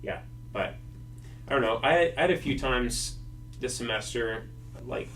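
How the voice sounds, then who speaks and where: A young man hosts a relaxed podcast chat, his speech full of filler words. He sits back from the microphone, and a faint hum runs under his voice. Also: mid-range voice, unhurried. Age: young adult. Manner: relaxed, lots of "like" and "you know". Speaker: podcast host. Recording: distant mic with faint hum.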